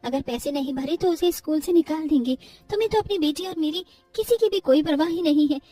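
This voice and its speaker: A woman's voice: high-pitched, brisk, dark tone, distant mic, soft background music, natural voice. A woman voicing a meek, suffering young wife and devoted mother in a cartoon story, pleading and earnest. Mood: protective, pleading.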